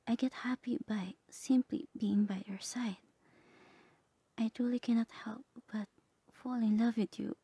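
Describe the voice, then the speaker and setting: A woman playing a gentle, lovestruck bard companion in a romantic ASMR roleplay, speaking softly and tenderly, often half whispering. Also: a little high, husky, dry recording. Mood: shy, nervous. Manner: tender, hesitant, polite.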